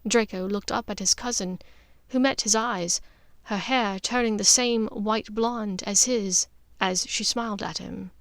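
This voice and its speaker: A woman reading scripted fiction as an audiobook narrator, in an expressive storytelling manner. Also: mid-range voice, a little slow, few pauses, dry recording.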